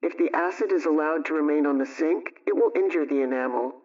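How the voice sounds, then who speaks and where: A man's voice: on the high side, brisk. Dull, distant old-radio man reading household advice. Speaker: host of a household advice programme. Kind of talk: scripted 1920s radio homemaking advice, question and answer.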